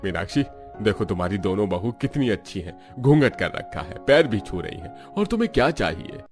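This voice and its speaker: A man playing a calm, conciliatory middle-aged father-in-law in a cartoon family drama, reassuring and placating. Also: fairly low pitch, brisk, soft background music.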